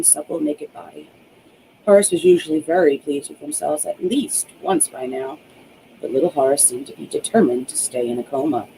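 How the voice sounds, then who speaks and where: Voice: mid-range voice, creaky, warm tone, a little hiss or hum, rough recording. An author reading their own novel aloud as scripted audiobook narration.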